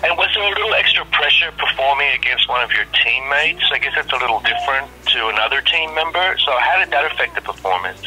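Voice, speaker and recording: A man's voice: mid-range voice, expressive, fast, hardly any pauses, sharp tone. A man asking questions as an interviewer on a phone call. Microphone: telephone. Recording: phone line interview audio.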